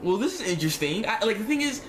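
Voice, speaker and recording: A man's voice: high voice, sing-song, fast talker, a little hiss or hum. A man giving a casual, slangy episode review to viewers, often repeating himself and acting out his reactions. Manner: repetitive, slangy, acts out remarks in reaction. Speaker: reviewer of an animated series episode. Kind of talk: casual spoken review, informal chat to viewers.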